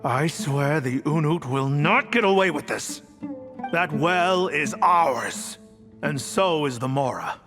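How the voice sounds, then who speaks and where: A man's voice: a little high, animated, slow pace, music in the background. Echoing, emphatic, proud desert tribesman. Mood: desperate, indignant. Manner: emphatic, earnest. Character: proud, desperate, gullible male desert tribesman leader. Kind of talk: video game voice acting. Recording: long reverberant hall echo.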